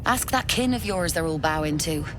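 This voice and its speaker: Brash, animated female smuggler-merchant. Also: low-pitched, normal speed, background noise. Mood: wry, mercenary. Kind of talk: video game voice acting.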